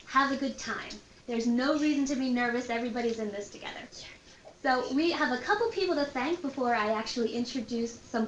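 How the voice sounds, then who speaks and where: A high-pitched woman speaks as an event host, encouraging and reassuring. Her welcome and announcements are informal, and the room echoes around her with a faint layer of noise. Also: lo-fi sound.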